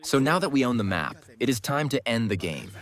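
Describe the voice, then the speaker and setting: A man's voice: mid-range voice, sing-song, fairly quick. A man giving scripted, instructive game guide commentary with a cocky, playful streak. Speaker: gaming guide creator, commentator.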